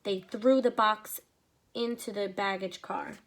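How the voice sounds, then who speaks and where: A woman teacher reading a picture book aloud to children, with expressive pacing and short explanatory asides. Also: mid-range voice, slow pace.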